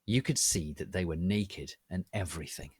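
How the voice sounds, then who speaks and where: A man narrating a story as a dramatic reading, in a deliberate and theatrical manner. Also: low voice, darkish tone, breathy edge, no echo.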